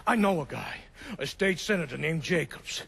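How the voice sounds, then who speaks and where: An actor playing a crooked, fast-talking American mobster in a video game, crude, streetwise and defensive. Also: male voice, on the high side, animated, vocal fry, fairly bright tone, husky, heavily acted. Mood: scheming, eager. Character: crooked, fast-talking mobster, scheming younger-sounding brother of a boss. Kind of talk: video game cutscene acting.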